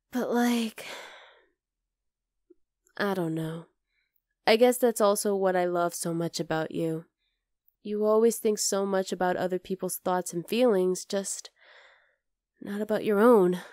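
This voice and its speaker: A woman's voice: medium pitch, takes it slowly, long pauses, a little mellow, no room sound, studio quality, lightly acted. A woman playing a young wolf-girl girlfriend in an intimate ASMR roleplay, affectionate, teasing and coaxing. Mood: fond teasing. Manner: cooing, playful, coaxing.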